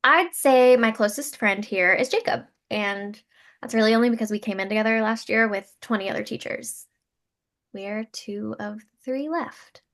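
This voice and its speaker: A woman's voice: on the high side, moderate pace, a bit bright. A woman playing an eager, slightly awkward young teacher in a sitcom table read, chatty and hesitant. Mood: chatty, wry. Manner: chatty, hesitant, people-pleasing. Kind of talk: sitcom table read, mockumentary talking heads.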